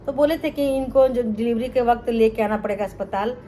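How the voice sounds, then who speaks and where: A woman's voice: on the high side, a little fast, dark tone, slight background noise. A middle-aged woman from Bihar, telling her life story to viewers in a chatty vlog and often calling them friends. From Bihar, India. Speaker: cooking channel host.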